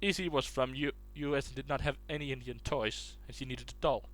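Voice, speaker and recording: A man's voice: medium pitch, fairly even intonation, fairly quick, a bit bright, no room sound. A man reading online posts aloud in a casual way, stumbling and restarting words as he goes.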